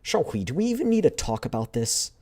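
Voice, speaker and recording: A man with a deep voice voicing an eager, excitable young female squad member who pleads and protests in a raised voice. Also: fairly quick, slightly rough. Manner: pleading, high-strung.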